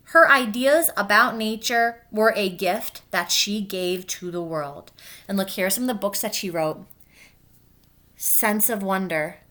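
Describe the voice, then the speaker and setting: A woman's voice: mid-range voice, a bit bright. A woman with a mid-range voice reads aloud as a teacher would to a class of young children. She moves between the text of the book and her own explanations, keeping her wording simple and speaking directly to her pupils.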